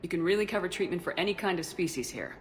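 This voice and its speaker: A woman's voice: lowish voice, animated, fast, natural voice. A woman playing a composed, direct military commander in video game dialogue. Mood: composed, inquiring.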